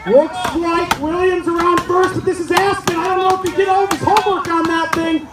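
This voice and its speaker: A man giving loud, folksy, excited live sports commentary as an event announcer, hyping up the crowd. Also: extremely high pitch, brisk. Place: outdoor festival event, heard over loudspeakers. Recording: echoey outdoor PA with crowd noise. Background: cheering crowd.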